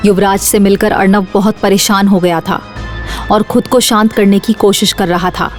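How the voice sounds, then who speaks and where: A woman's voice: medium pitch, little pitch movement, moderate pace, soft background music. A woman reading scripted suspense narration for an audio drama series.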